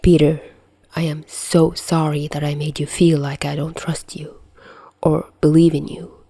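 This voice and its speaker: A woman voicing a remorseful, protective male superhero mentor in a fan audio drama, softly and tenderly, with halting reassurance. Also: low voice, little pitch movement, creaky, breathy edge, dry recording, heavily acted. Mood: remorseful, earnest. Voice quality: soft.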